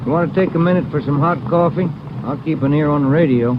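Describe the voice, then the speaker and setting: A man playing a brisk police driver. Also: fairly high pitch, close to an everyday voice. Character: eager young male police driver. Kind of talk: 1940s radio acting. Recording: dull old radio broadcast with car noise.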